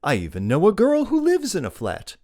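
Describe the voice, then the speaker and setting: An actor playing an urbane gentleman bachelor in a dramatized audiobook, indolently amused, polite and dryly teasing. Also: sits high, wildly swooping pitch, a little fast, full character voice. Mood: lightly teasing. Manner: dry, wry, polite.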